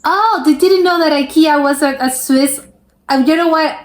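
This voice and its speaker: A woman's voice: high voice, normal speed, reverberant. A woman reacting to clips in casual commentary, chatty with many fillers and restarts, laughing often. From United States. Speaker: reaction video host.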